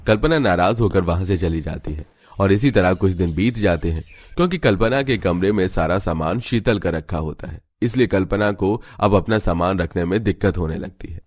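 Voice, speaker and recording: A man's voice: deep voice, moderate pace, no room sound. A man narrating a scripted family story for a cartoon.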